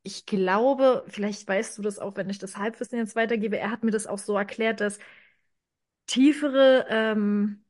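A woman's voice: medium pitch, fairly even intonation, normal speed, darkish tone. A woman speaking as a podcast guest in a casual conversation, explaining thoughtfully with many fillers and restarts.

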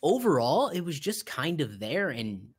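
A man's voice: a little high, very animated, slightly rough. Rambling man in casual film chat. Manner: rambling, halting, repeats himself for emphasis. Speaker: podcast co-host.

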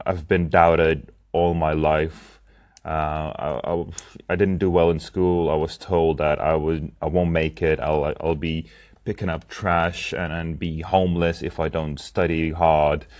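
A young man from Lithuania, speaking English as a second language, talks through his plans in a reflective personal diary monologue, hesitating often with ums and restarts. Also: low voice. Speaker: podcast host and founder.

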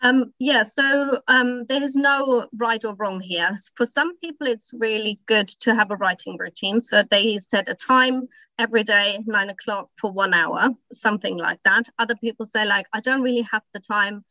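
A woman's voice: fairly high pitch, normal speed, bright, forceful tone, no echo, dull audio. A woman whose first language is German, speaking English as a writing coach on a podcast, giving encouraging, practical advice with frequent ums. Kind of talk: casual podcast chat.

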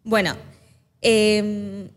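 A woman's voice: medium pitch, flat delivery, extremely slow, big-room sound. A woman in her twenties with a mixed Argentine and Chilean accent, chatting casually as a podcast guest. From born in Chile, raised in Argentina. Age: 20s. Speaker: podcast guest, missions student.

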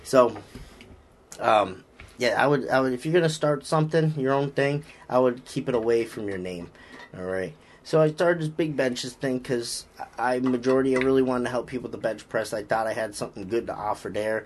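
A man's voice: medium pitch, a little fast, a little hiss or hum. A man with a Boston accent, a strength coach chatting casually on a podcast, rambling with lots of fillers and restarts. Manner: rambling, frequent fillers and restarts, upbeat about lifting.

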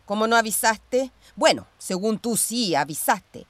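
Actress as a stern, sardonic Chilean ex-commander. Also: female voice, mid-range voice, lively intonation, unhurried, dry recording, natural voice. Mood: curt, evasive. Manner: curt, defensive, sardonic. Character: stern, evasive former police commander, Rosa's sister. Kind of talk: radio drama acting.

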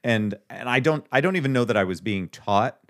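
A man's voice: medium pitch, animated, a little fast, a bit bright, no echo, clean studio sound. A man co-hosting a podcast in casual chat, laid-back, laughing often and swearing casually. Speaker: podcast co-host.

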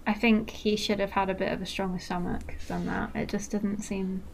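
A woman's voice: medium pitch, little pitch movement, moderate pace, gravelly, a little hiss or hum. A young woman with a faint Mancunian accent, co-hosting a casual film podcast and rambling with lots of fillers. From Manchester, England. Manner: chatty, rambling, with frequent fillers and laughs. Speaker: podcast co-host.

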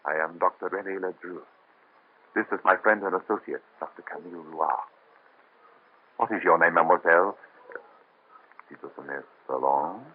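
Radio play lead: a devoted doctor, low husky voice, lo-fi vintage recording. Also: male voice, moderate pace, long pauses. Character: kind young male doctor of the Revolution. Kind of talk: 1930s radio drama acting. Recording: muffled lo-fi old radio transfer.

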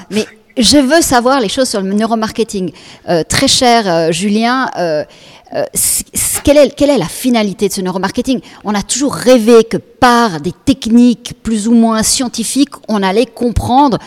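A woman hosting an interview, asking brisk, lively questions. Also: fairly high pitch. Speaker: host.

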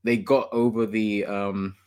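A man's voice: lowish voice, little pitch movement, measured pace, a little mellow, clear, resonant tone. A man hosting a casual gaming podcast, enthusiastic and opinionated, laughing often. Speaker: podcast host.

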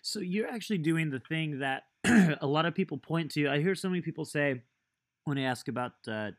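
A man's voice: a little high, lively intonation, fairly quick, no echo. A man hosting an interview, asking thoughtful questions in a hesitant, reflective way. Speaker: podcast host. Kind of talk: casual interview conversation.

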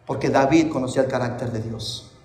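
A man's voice: mid-range voice, steady tone, a little fast, darkish tone. A middle-aged man preaching a fervent sermon in a church, often calling out to his listeners as brothers, his voice rising and falling and drifting from the mic. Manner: fervent, repeats "hermanos" often. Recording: echoey hall, voice drifting from mic.

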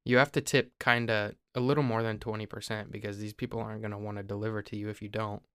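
A young man from Ohio, host of a podcast, explaining things in a relaxed, casual way with small restarts. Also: fairly low pitch, fast, clear, resonant tone, dry recording, clean studio sound. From Ohio, USA.

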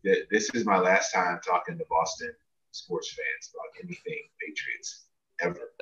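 A man's voice: fairly low pitch, huge pitch swings, normal speed, pushed and bright. A man guesting on a sports podcast, bantering casually and emphatically, repeating words for effect. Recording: dull, roomy remote connection, distant mic.

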